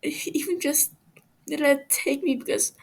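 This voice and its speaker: A woman voicing a frightened, wounded male captive who gasps and stammers through halting speech in a story reading. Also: high-pitched, steady tone, gravelly, pitched above the performer's own voice. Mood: anguished.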